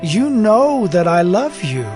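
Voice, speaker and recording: A man's voice: high voice, sing-song, takes it slowly, music in the background, exaggerated voice. A man playing an eager, devoted fisherman disciple in a dramatized scripture reading, speaking with earnest, emphatic emotion.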